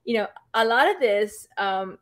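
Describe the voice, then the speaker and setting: A woman's voice: mid-range voice, animated, a little slow. A woman hosting an online interview, hesitant and full of fillers and restarts. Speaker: host.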